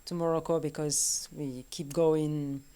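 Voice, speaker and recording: A woman who grew up in France, a guest singer talking casually over a video call, halting often to search for words. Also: deep voice, little pitch movement, mellow, clear, resonant tone. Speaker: guest vocalist. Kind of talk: casual conversation.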